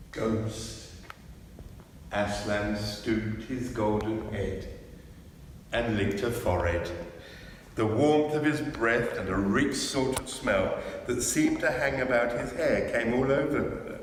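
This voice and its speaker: A man's voice: on the low side, slow. A man narrates a storybook aloud with expression. He takes his time, and his voice carries a heavy echo and long reverb inside a church, with faint noise behind. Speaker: clergyman reading a storybook aloud.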